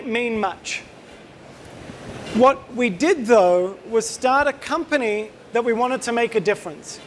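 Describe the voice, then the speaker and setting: High-voiced Australian man giving a talk. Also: a little hiss or hum. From Israel. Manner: casual, chatty, joking, quizzes the audience. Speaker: company co-founder giving a talk. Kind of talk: conference presentation to a live audience. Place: conference room.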